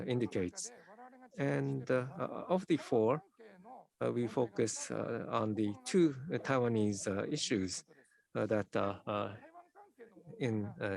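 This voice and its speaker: A man presenting survey results at a conference in a halting, formal way, full of hesitations. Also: medium pitch, creaky, darkish tone. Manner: hesitant, heavy use of filler sounds.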